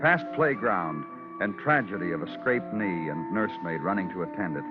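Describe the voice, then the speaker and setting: A man's voice: low voice, animated, normal speed, music in the background. A man playing a moody, hard-boiled police detective and narrator in an old radio crime drama. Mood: brooding, lyrical. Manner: terse questioning, lyrical narration. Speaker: lead and narrator. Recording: dull vintage radio recording.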